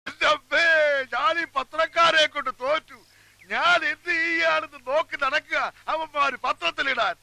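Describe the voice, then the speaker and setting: A theatrical comic film character. The voice is strikingly high and full of crackling creak. He draws his words out slowly and leans on each one emphatically. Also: pushed and bright, full character voice. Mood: exasperated. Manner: drawn-out, emphatic, repeating words. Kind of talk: Malayalam comedy film acting.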